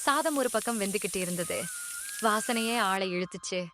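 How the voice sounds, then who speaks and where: A woman narrating a cartoon moral story in a clear, expressive way. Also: medium pitch, normal speed, slight background noise. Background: music.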